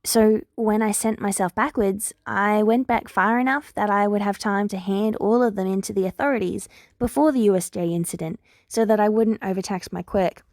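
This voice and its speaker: A woman's voice: medium pitch, steady tone, normal speed, darkish tone, no room sound, studio quality, natural voice. Woman acting an earnest, firm young heroine student. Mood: grave, factual. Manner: prompt, firm, wry.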